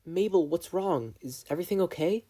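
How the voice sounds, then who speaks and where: A protective twin brother of about twelve, played by a man reading a story. He sounds earnest and worried, coaxing gently in a raised, slightly husky voice. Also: sits high, expressive, fairly quick, voice pushed up. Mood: worried, soothing.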